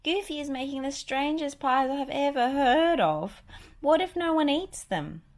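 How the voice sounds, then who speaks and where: Lady doing a sweet fretful girl mouse from a kids story, high and expressive. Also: moderate pace. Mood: worried. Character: sweet, anxious female cartoon mouse, caring friend.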